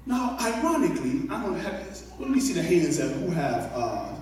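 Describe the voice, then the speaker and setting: A man in his thirties preaching a sermon, building call-and-response, repeating phrases and rising to shouts as he paces with a handheld mic. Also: a little high, big pitch swings, normal speed, background noise. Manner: pacing the stage with a handheld mic. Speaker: pastor. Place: large church sanctuary. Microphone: handheld mic, recorded from far off in the pews. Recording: echoey church hall from far off. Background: congregation responding.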